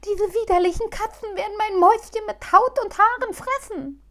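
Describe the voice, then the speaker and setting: A woman voicing a frantic, whiny little male gnome who keeps pleading in a high voice. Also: moderate pace. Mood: whining. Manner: pleading and panicky.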